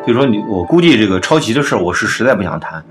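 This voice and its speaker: A man's voice: fairly low pitch, sing-song, racing. A man hosting a casual podcast chat. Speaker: podcast host. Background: background music.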